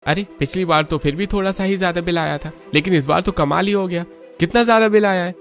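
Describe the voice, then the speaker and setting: A man voicing a trusting, puzzled young neighbour in a cartoon, expressive in manner. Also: high voice, fast, faint music behind. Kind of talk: cartoon voice acting.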